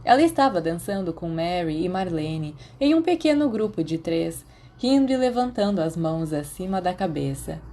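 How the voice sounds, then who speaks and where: A Brazilian woman narrates a story in a scripted audiobook style. Her voice sits fairly low for a woman, with a very soft, dark and mellow tone. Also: expressive, normal speed. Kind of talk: scripted fan fiction narration.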